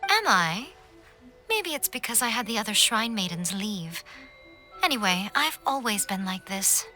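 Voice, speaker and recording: A sly, knowing shrine priestess in a game cutscene, teasing and unhurried in her speech. Her voice sits at a middle pitch, with music clearly audible underneath. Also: big pitch swings, pushed and bright. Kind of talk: video game cutscene dialogue.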